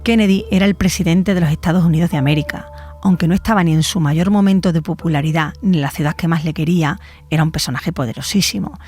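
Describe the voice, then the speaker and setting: A woman's voice: fairly low pitch, quick pace, a little mellow. A woman presenting scripted documentary commentary as a journalist. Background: faint music.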